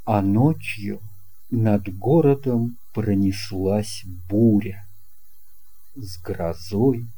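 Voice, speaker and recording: A man narrating a children's tale in an unhurried, expressive storytelling manner. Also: lowish voice, slightly rough. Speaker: audiobook narrator.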